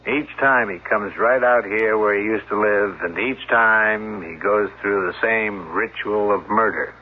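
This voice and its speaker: An actor playing a calm, world-weary police officer, recounting grim events in a measured, solemn way in a radio drama. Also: male voice, lowish voice. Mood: grave, reflective. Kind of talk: 1970s radio drama acting. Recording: narrow-band 1970s radio broadcast.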